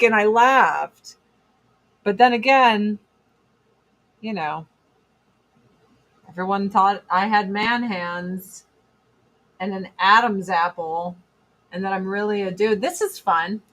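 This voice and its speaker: Slow-talking blunt woman with a deep voice. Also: a bit bright, poor audio. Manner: blunt, profane, sarcastic, reads chat comments aloud. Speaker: livestream host. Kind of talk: casual livestream chat doing makeup.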